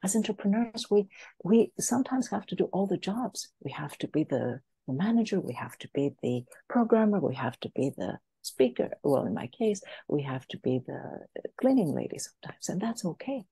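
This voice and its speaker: A woman's voice: fairly low pitch, sing-song, moderate pace, mellow, breathy edge, dry recording. A woman who grew up in France, a business coach speaking as a podcast guest in an earnest, motivational way. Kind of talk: podcast interview talk. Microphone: podcast mic.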